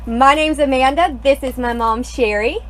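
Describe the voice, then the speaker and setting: A young woman co-hosting a live craft tutorial in an indoor studio, upbeat and a little hoarse, greeting viewers by name. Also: high voice, normal speed. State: slightly hoarse, losing her voice. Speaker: co-host, craft designer.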